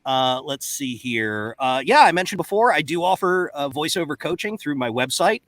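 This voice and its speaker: A man's voice: fairly high pitch, very animated, normal speed, fairly bright tone, no echo. A middle-aged man chatting casually and playfully as a panel guest. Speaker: panel guest, voice actor and coach. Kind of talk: casual chat.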